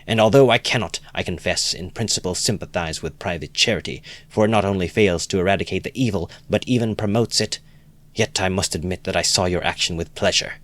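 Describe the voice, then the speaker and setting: A man voicing an earnest, excitable young male idealist who lectures in an eager, emphatic way during an audiobook reading. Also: low-pitched, brisk.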